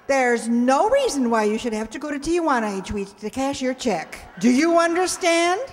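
Bossy, animated older mother played by a woman. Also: high-pitched, moderate pace. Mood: bossy, sardonic. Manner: scolding, sardonic. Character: bossy, exasperated mother of a grown son. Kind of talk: old-time radio comedy acting. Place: amateur stage reading in a hall. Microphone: stand microphone. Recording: echoey stage in a large hall.

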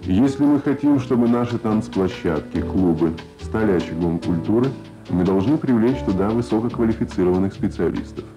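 A man's voice: on the low side, lively intonation, a little fast, dull audio, over music. A man reading aloud in a formal, scripted Soviet radio style. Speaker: radio reader of listeners' letters. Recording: archival Soviet radio broadcast.

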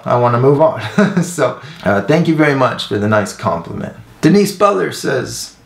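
An earnest man in his thirties hosts a casual video, speaking to viewers in a mid-pitched voice. He talks conversationally, hesitating as he searches for words. The sound is roomy and echoey, as if the mic sits a few feet away indoors. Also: creaky. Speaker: host, mural painter and instructor. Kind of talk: casual talk to camera, answering viewer comments. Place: indoors, room with painted mural wall.

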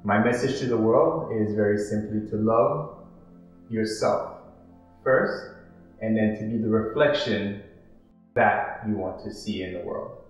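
A man in his thirties, originally from Haiti, speaks in a lowish voice as he answers questions about his art. He talks in a slow, philosophical way, pausing on ums and restarting his sentences. The room rings with heavy echo, and soft music plays behind him. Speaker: artist interviewee. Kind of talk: reflective interview answers. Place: art studio with paintings, indoors.

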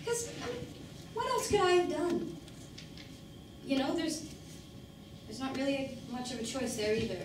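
A woman performing a dramatic monologue as an embittered, struggling working-class mother, defensive and pleading, with emphatic, self-questioning delivery. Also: a little high. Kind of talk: dramatic stage monologue acting. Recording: very echoey room, distant mic, faint noise.

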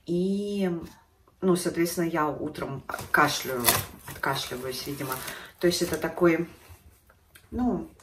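A woman's voice: low-pitched, measured pace, long pauses, reverberant, distant mic, background noise. Chatty woman with a stuffy nose. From Russia. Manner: chatty, conversational, shows products on camera. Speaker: beauty vlogger. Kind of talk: casual chat to camera. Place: living room at home.

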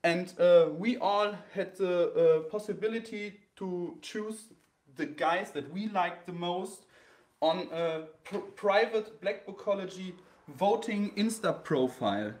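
A man in his thirties from Germany with a German accent, hosting a battle and explaining the rules live to a phone camera, halting with many fillers and restarts. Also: high voice, a little mellow, reverberant. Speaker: host of a graffiti sketch battle. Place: indoor room with a wall-mounted bracket.

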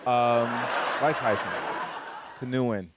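A man in his forties doing a stand-up comedy set on a small studio stage with a handheld mic, talking conversationally with playful asides and high-pitched voices for punchlines. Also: fairly even intonation, slow pace, heavy background noise. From Chicago, USA. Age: 40s.

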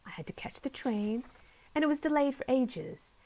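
A woman's voice: a little high, expressive, normal speed, poor audio. Woman voicing a chatty little boy. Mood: matter-of-fact. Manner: matter-of-fact rambling.

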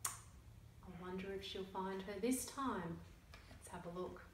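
A woman's voice: medium pitch, slow pace. A middle-aged woman reading a picture book aloud to young children and asking the listeners questions, seated in a small room. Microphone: camera a few metres away. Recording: roomy, distant mic, faint noise.

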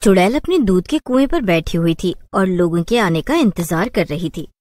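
Lady narrating a kids cartoon story, kinda low voice, very animated, dry sound. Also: moderate pace, no room sound. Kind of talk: scripted narration of a children's cartoon tale.

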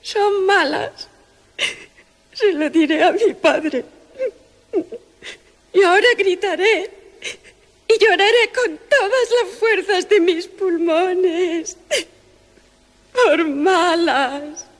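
A woman's voice: extremely high pitch, very slow, long pauses, long reverb tail, full character voice. A woman playing a spoiled six-year-old girl in a radio drama, with a childish, emphatic delivery. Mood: tearful, petulant.

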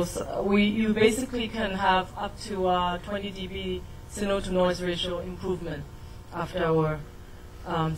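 A woman's voice: mid-range voice, slight background noise, poor audio. Middle-aged chinese lady lecturing on tech, keeps asking "right?". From Shanghai, China. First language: Mandarin. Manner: explanatory, asks audience to confirm. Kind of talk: technical talk to an audience.

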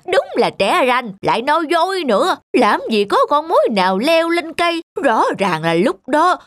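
An actor playing a greedy, scheming middle-aged rich villager in a cartoon fairy tale, pompous, scornful and blustering. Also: male voice, very high-pitched, very animated, moderate pace, a bit bright, exaggerated voice.

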